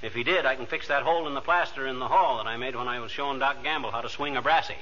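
An actor playing a restless, boastful husband with a blustery, folksy American manner in a 1940s radio comedy. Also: male voice, mid-range voice, rapid-fire, bright, forceful tone, natural voice. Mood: puzzled. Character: restless, stubborn, boastful bumbling husband eager to fix things. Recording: dull, noisy vintage radio recording.

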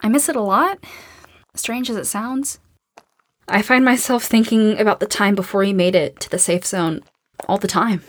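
A young woman playing a tough, grieving and determined survivor in an audio drama, speaking earnestly and quietly. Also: medium pitch, normal speed, dry recording, studio quality, natural voice. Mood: wistful. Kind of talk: naturalistic audio drama acting.

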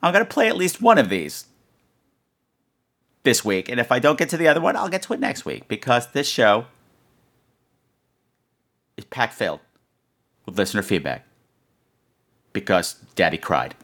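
A man's voice: medium pitch, big pitch swings, normal speed, pauses often, a bit bright. A man hosting a casual comedy podcast in a playful, campy manner with theatrical flourishes. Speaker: podcast host.

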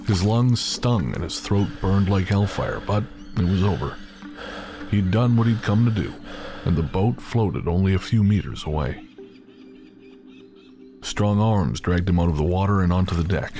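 A man narrating an audio drama in a measured, somber storytelling manner. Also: low voice. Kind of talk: scripted narration.

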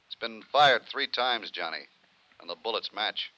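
A man's voice: lowish voice, animated, moderate pace, over loud music, close to an everyday voice. An actor playing a no-nonsense policeman who reports in clipped, businesslike lines in a 1950s radio drama. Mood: matter-of-fact. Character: brisk, no-nonsense male police officer. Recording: dull 1950s radio recording.

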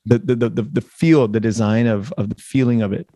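A man's voice: medium pitch, dark tone. American male interview host, mid-range voice, conversational, feeling his way through questions with repeated starts. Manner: halting, searching for words, repeats phrases.

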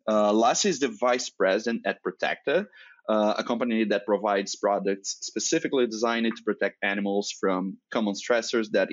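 A man from Brazil, a Portuguese native speaker with a Brazilian accent, hosting a podcast interview in a measured way with frequent "uh" fillers. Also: on the low side, lo-fi sound. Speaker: podcast host. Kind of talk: semi-scripted podcast intro and interview.

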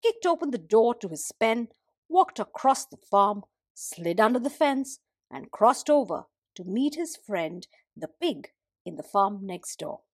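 A woman telling a folktale as expressive scripted narration for a storytelling podcast. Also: medium pitch, unhurried, no room sound, clean studio sound.

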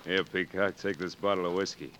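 Deep, forceful frontier doctor. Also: male voice, fairly even intonation, brisk. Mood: commanding. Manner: oratorical, commanding. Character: gruff, commanding hard-drinking frontier doctor, older man. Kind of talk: 1940s radio acting. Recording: muffled, hissy 1940s radio recording.

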